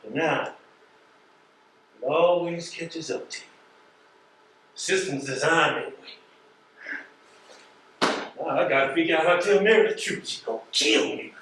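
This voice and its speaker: An actor with an American accent playing a wry, streetwise, philosophical mail carrier in a stage play, folksy and colloquial. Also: male voice, on the high side, normal speed, pauses often, fairly bright tone, close to an everyday voice. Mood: wry, resigned. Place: theatre stage, recorded from afar. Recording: echoey stage, distant mic, faint noise.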